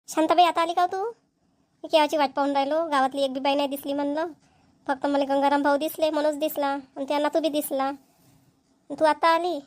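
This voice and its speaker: Woman playing chatty village gossip, cartoon, Vidarbha Marathi accent, high voice, speedy delivery, folksy, dry sound. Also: dry recording, natural voice. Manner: folksy, talkative, colloquial. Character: chatty village women gossiping at a family engagement. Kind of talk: amateur cartoon dialogue acting.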